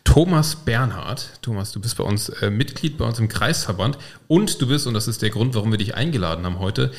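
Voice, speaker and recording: A man co-hosting a political podcast, asking curious questions in a relaxed interview chat. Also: on the low side, a little fast, creaky. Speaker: podcast co-host.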